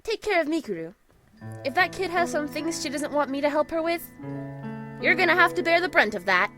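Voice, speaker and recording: Woman playing a bubbly high-pitched teenage girl. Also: a little fast. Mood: frank, friendly. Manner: energetic, folksy, laughs easily. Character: cheerful, breezy, perceptive teenage schoolgirl. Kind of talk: audio drama character acting. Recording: music behind the voice.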